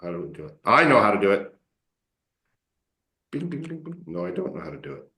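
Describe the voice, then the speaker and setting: A man coaching English students in a casual online class, relaxed and encouraging, often joking with them. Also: mid-range voice, brisk, creaky, echoey room. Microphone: video call.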